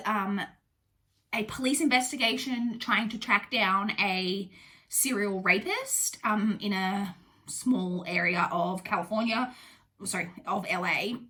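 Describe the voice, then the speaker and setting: A woman's voice: medium pitch, bright, forceful tone. A young adult woman with a blocked-up, nasal voice from a cold, chatting casually through a book review with lots of ums and likes. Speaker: booktuber host.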